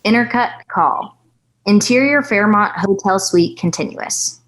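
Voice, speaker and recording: A woman's voice: mid-range voice, unhurried, poor audio. A woman reading scene headings and stage directions as the narrator of a screenplay table read.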